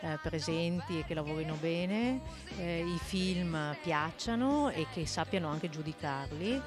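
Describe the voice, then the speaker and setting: A woman who directs a film festival, giving interview answers in hesitant, halting phrases. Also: on the low side. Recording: music playing behind the voice.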